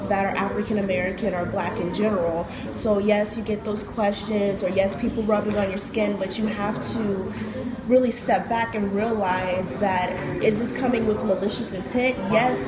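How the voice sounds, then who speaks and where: A woman's voice: mid-range voice, very noisy. A woman speaking earnestly in an interview, searching for her words as she explains herself. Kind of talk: interview testimony. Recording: roomy sound with loud crowd behind.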